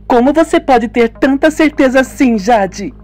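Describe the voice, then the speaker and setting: A man voicing a frightened young woman who insists on leaving, in a strained falsetto, for a homemade radio drama. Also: expressive, moderate pace, darkish tone, faint music behind. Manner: high strained falsetto, pleading.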